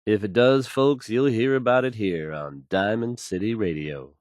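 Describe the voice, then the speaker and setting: A man's voice: on the low side, moderate pace, a little mellow, no room sound, studio quality, lightly acted. A man playing an assured, folksy radio DJ in a video game, delivering news bulletins to listeners with fluent, polished ease. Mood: upbeat, confident.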